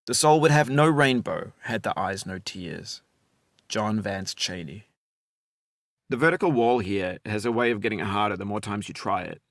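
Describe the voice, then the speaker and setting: A middle-aged man narrating a video game in a calm, wry, philosophical voice-over. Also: fairly low pitch, moderate pace, dry recording, studio quality.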